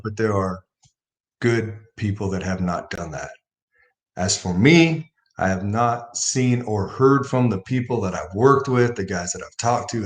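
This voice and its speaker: A man hosting a livestream, talking casually about faith and telling a personal story with rising emphasis. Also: on the low side. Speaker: livestream host.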